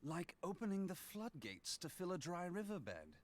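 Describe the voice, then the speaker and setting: A man's voice: sits high, wildly swooping pitch, moderate pace. A man playing a thoughtful young scholar in a fantasy video game, with a polite, bookish, formal delivery. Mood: curious, doubtful.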